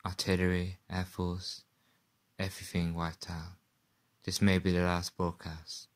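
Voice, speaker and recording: Radio play role: man as doomed eyewitness reporter, deep voice, grave and terse, halting delivery. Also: monotone. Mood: grim resolve.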